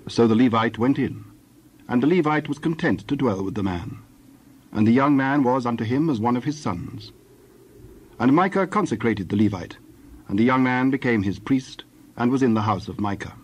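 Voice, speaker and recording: A man's voice: mid-range voice, animated, normal speed, breathy edge. A man narrating scripture from a script as a storyteller.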